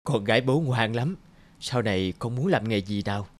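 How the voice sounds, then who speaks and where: Man acting a gentle, doting country father. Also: mid-range voice, expressive, no room sound, natural voice. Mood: fond, gentle. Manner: gentle, hesitant, halting. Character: doting, humble, middle-aged country father. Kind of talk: cartoon dialogue acting.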